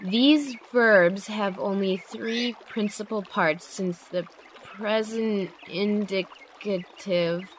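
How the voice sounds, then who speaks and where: Casting: actress as studious, sensible young heroine, unhurried delivery, fan radio drama with slight noise. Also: female voice, medium pitch. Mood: studious, halting. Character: Zephyr, level-headed studious young female friend. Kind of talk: amateur radio drama acting.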